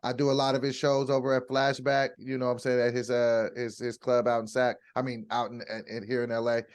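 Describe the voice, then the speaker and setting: A man in his forties sits in as a guest comedian on a podcast. He talks in a laid-back way at a middling pitch and laughs easily. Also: level tone, fairly quick, clean tone. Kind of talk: casual podcast chat.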